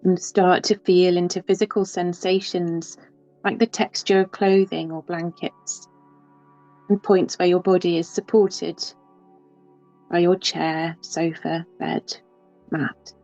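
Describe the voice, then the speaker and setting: A woman leading a guided yoga nidra relaxation, speaking softly and unhurriedly with long pauses. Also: lowish voice, level tone. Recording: slightly dull, with soft music behind.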